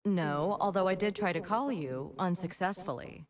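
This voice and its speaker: Actress as a dogged FBI agent, courteous, probing, slightly distant on mic. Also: female voice, fairly low pitch, moderate pace, close to an everyday voice. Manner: polite, probing questions. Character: persistent female FBI agent. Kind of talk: video game voice acting.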